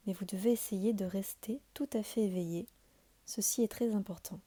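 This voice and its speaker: A woman giving calm, soothing guided meditation instructions as a yoga nidra teacher, in a deliberate, measured delivery. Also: mid-range voice, husky.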